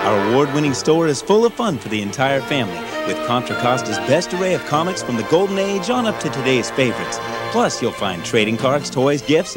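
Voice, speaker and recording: A man reading a scripted local store commercial as an upbeat sales pitch. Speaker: commercial announcer. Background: loud music.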